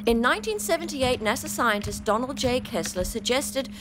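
An actress playing a brusque, clever retired adventurer, commanding and dryly impatient, in a sci-fi comedy audio drama. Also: female voice, sits high, expressive, moderate pace, music in the background. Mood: dry, explaining. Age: older.

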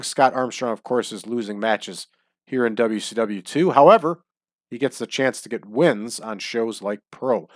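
A man's voice: mid-range voice, no echo, clean studio sound. Stuffy-nosed man chatting over gameplay. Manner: chatty, opinionated asides. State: stuffy nose from allergies. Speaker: let's-play host. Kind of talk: casual gameplay commentary. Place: at home.

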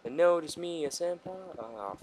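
A man's voice: a little high, animated, normal speed, darkish tone, slight background noise. A man giving casual, profane, joking let's play commentary with mock exasperation. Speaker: gaming channel host.

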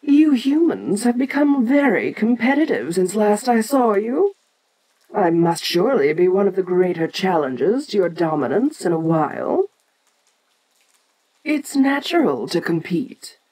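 A woman playing an ancient, imperious kaiju giantess in an audio roleplay, speaking formally and with deliberate, musing condescension. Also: mid-range voice, sing-song, warm tone, breathy edge, rough recording. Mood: suspicious, stern. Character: imperious ancient female kaiju giantess, haughty, curious, domineering. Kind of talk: audio roleplay addressed to the listener.

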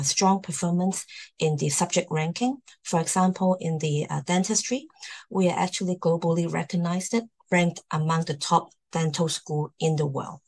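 Low-voiced woman hosting a formal presentation. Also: darkish tone. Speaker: host, university admissions presenter. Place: online video call.

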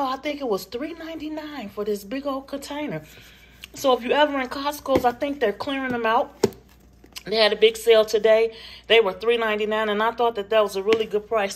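A woman's voice: medium pitch, fairly bright tone, slight background noise. A woman vlogger, chatting casually with her viewers about what she bought, recorded on a handheld phone in her home kitchen. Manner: chatty, addresses viewers as "you guys" and "y'all". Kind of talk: casual grocery haul chat. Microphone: phone camera held in hand.

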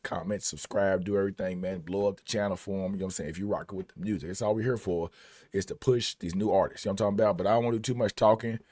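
Kentucky man, 30s, hoarse and husky, low, relaxed reaction host in a dry small room. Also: rapid-fire, no room sound. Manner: laid-back, slangy, many "you know what I'm saying". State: losing his voice. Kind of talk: casual reaction commentary. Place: small home studio, indoors.

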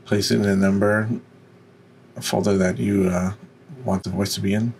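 A man with a deep voice giving an unscripted, step-by-step software tutorial. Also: level tone, moderate pace, gravelly, a little hiss or hum. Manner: instructional, step by step. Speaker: tutorial presenter.

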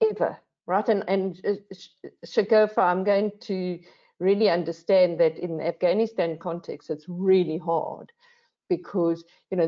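An older woman speaking as a panel guest, earnest and emphatic, often posing questions to herself and adding "right?". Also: fairly low pitch. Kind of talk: reflective discussion. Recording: dull lo-fi video call audio.

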